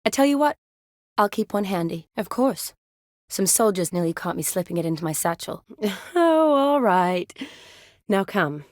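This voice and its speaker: An actress playing a young army medic in a fantasy video game, a warm, playful friend with an expressive, teasing delivery. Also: female voice, lowish voice, moderate pace, no room sound, studio quality, lightly acted. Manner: expressive, teasing, sighs and soft chuckles.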